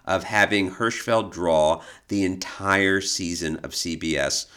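Low-voiced American man explaining on a podcast. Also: a little slow, bright, forceful tone, clear, resonant tone. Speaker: podcast co-host. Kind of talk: conversational discussion of illustration history.

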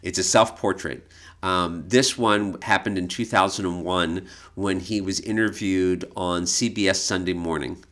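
A man co-hosting a podcast in casual conversation, explaining things in a measured, hesitant way. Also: low voice, steady tone, fairly bright tone. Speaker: podcast co-host, art expert.

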